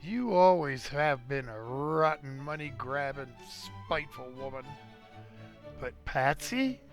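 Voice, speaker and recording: A gravelly, bitter man who has been betrayed, acted by a man in a 1940s radio play. He accuses slowly, the pitch rising and falling. Faint music sits behind him. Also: lively intonation. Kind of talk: 1940s radio drama acting.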